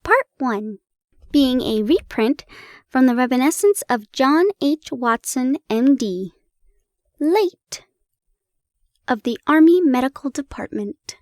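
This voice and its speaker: A young woman in a playful roleplay, chatting warmly to the listener and reading an old story aloud, giggling and stumbling over old place names. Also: high-pitched, animated, slow, long pauses, no room sound, clean studio sound. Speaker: audio roleplay performer.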